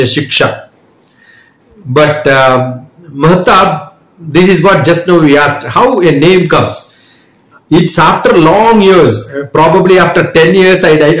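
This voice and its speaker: A man's voice: a little high, fairly bright tone. Thoughtful man, roomy sound. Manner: measured and thoughtful, explains at length with small restarts. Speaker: guest, educator and speaker. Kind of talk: reflective interview answers. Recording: roomy echo.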